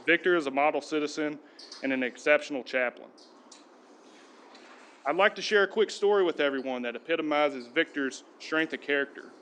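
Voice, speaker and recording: A man, a police sergeant, giving a steady, earnest prepared speech at a podium in a council chamber. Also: medium pitch, slight background noise. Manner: steady, earnest reading of a tribute.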